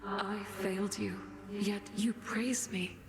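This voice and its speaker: Restrained moon goddess, echoing. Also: female voice, mid-range voice, takes it slowly, creaky, background noise. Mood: solemn, dutiful. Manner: restrained, formal, even. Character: dutiful, self-reproaching moon goddess, devoted daughter. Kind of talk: video game dramatic acting. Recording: echoey hall with music behind.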